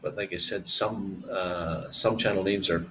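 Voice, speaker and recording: A man's voice: deep voice, steady tone, a little slow, pushed and bright, a little hiss or hum, low-quality recording. A middle-aged man hosting a casual live stream, rambling as he reads viewer comments aloud and answers them.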